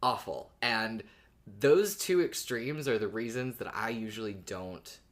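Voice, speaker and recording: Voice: medium pitch, a little slow. A podcast co-host chatting casually about a story, explaining things in an earnest, informal way. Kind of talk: casual conversational podcast commentary.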